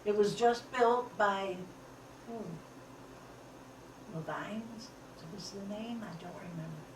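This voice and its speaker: A very elderly American woman in her nineties recalling the past informally, pausing often as she searches for details. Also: medium pitch. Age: 90 or older. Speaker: interviewee, family elder. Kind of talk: informal oral history recollection. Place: echoey room, away from the microphone. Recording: roomy, distant, light background noise.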